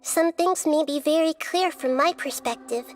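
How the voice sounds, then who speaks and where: Woman as a soft-spoken girl goddess of wisdom, high and measured, game music faint behind. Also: natural voice. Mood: thoughtful. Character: gentle young girl goddess of wisdom, calm and thoughtful. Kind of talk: video game dialogue.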